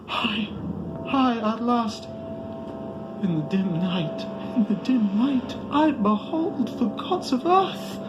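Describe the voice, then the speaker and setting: A man voicing a proud, wild mystic in a grandiose, shrill horror-story declamation, shrieking out incantations. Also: animated, slow, noisy background, full character voice. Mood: exultant. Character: proud shrieking mystic climber, exultant turning to terrified. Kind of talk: theatrical horror storybook reading. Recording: music behind the voice.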